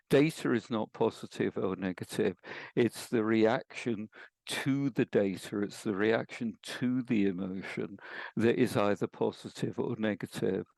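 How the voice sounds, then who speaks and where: An older man with an English accent, a coach explaining ideas in a measured way on a podcast interview. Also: fairly low pitch, gravelly, a little mellow, no room sound, rough recording. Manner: measured, explanatory, builds points with examples. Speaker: guest, emotional intelligence coach.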